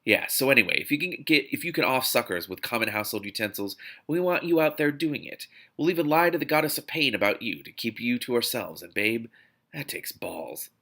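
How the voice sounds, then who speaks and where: A man's voice: medium pitch, expressive, brisk, pushed and bright. A man voicing a blunt, wisecracking male field agent from a novel, speechifying in emphatic slang. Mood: urgent, frank.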